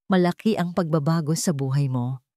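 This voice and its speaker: A woman voicing a kind, devoted young man in a romance story, gentle and reassuring. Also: sits low, moderate pace, dry recording, clean studio sound, natural voice. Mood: friendly. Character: kind, devoted young man comforting his childhood sweetheart.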